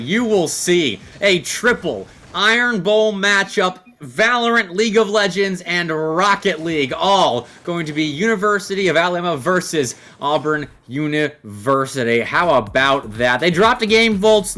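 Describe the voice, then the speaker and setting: Male esports caster, slightly high and forceful, for live match commentary. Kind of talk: live Rocket League play-by-play and banter.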